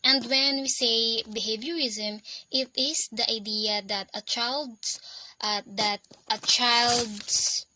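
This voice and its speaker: A woman's voice: on the high side, sharp tone, rough recording. A young woman with a Filipino accent, whose first language is Filipino, presents a lesson on teaching in an earnest way, often restarting and correcting herself mid-sentence. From Philippines.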